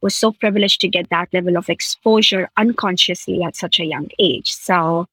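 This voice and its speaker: A woman's voice: on the low side, moderate pace, a bit bright, slightly rough, dry recording, rough recording. A woman raised in the Middle East, a podcast guest talking earnestly and enthusiastically about her past in long flowing sentences. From India, raised in Qatar. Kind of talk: reflective conversational interview.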